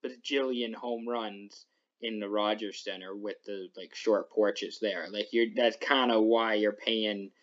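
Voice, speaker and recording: A man's voice: fairly low pitch, fairly even intonation, unhurried, lo-fi sound. A young man hosting a podcast, chatting casually about sports in a rambling, self-interrupting way. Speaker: podcast host.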